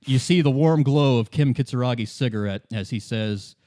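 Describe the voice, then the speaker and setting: A man narrating an improvised tabletop game as game master in a loose, halting, conversational way. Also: medium pitch, warm tone, dry recording, clean studio sound. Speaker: game master, podcast host.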